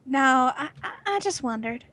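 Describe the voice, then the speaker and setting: A woman playing a nervous, evasive suburban wife and mother in an amateur radio play, with a hesitant, halting delivery. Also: high-pitched, lively intonation, pushed and bright, dry recording, exaggerated voice.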